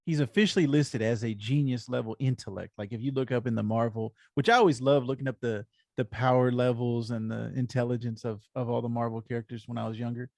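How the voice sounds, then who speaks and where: A man's voice: medium pitch, steady tone, fast, creaky, hardly any pauses, darkish tone, no room sound, clean studio sound. A man co-hosting a podcast, chatting casually and agreeably as a fan. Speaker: comics podcast co-host.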